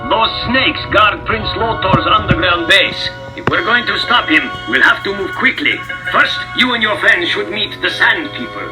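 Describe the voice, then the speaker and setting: Wise, grave professor, high-pitched, cartoon storybook style, music behind. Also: male voice, lively intonation, normal speed, poor audio. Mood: earnest, grave. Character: earnest professor, wise and grave alien ally. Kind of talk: dramatized children's storybook acting.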